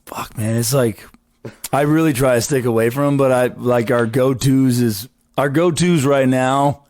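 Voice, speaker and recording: A man with a Texan accent and a low voice, a laid-back musician guest talking casually and swearing. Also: normal speed, a little mellow. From Austin, Texas. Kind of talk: casual interview chat.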